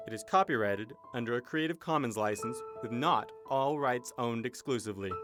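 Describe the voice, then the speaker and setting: Man reading scripted credits over music. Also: mid-range voice. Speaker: credits announcer. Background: clear music.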